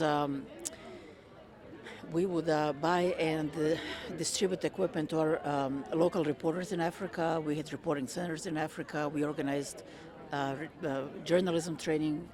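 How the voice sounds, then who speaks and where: A woman in her fifties, originally from Croatia, answering interview questions in a measured way with frequent ums and uhs. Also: low voice. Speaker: interviewee, retired federal worker. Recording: crowd chatter behind. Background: crowd chatter.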